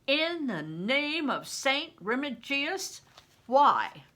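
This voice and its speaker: Granny reading as big gruff showman guy, teasing but kind, loud swoopy delivery, storybook style. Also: sits high, big pitch swings, very slow, voice pushed up, heavily acted. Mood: teasing, insistent. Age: older. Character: big blustering, roughly kind male travelling performer.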